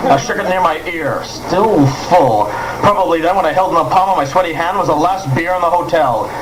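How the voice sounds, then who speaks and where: A high-pitched, hardboiled secret agent played by a man narrates his own case in a comedy radio play. He is deadpan and wisecracking, like a parody detective. The old cassette recording is very noisy. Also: close to an everyday voice. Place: live performance, taped.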